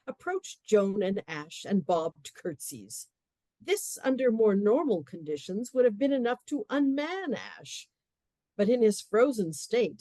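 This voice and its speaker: A woman narrating a comic period radio play with measured, wry storytelling. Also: mid-range voice, warm tone, no echo.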